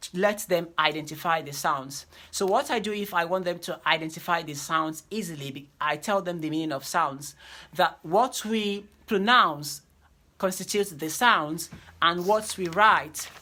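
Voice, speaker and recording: A woman lectures on teaching diction, her voice bright and somewhat low-pitched. She repeats key phrases and slows down to stress her points, like a teacher walking a class through a lesson.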